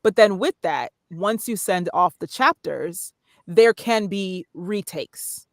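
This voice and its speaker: A woman's voice: medium pitch, expressive, slow pace, no room sound, clean studio sound. A woman speaking casually as an interview guest, explaining things in a conversational way. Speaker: podcast guest, voice-over artist and narrator.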